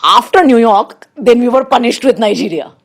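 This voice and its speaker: A middle-aged woman with an Indian accent and Urdu as her first language, telling personal stories conversationally as an interview guest in an animated, anecdotal way. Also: a little high, moderate pace, gravelly. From India, raised abroad in diplomatic postings. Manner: animated, anecdotal, acts out quoted remarks.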